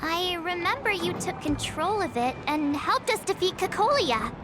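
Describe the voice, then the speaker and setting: A woman's voice: very high voice, normal speed. An actress voicing a bubbly, chatty teenage girl adventurer in a video game. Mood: curious, relieved. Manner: bubbly, expressive. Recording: game music behind the voice.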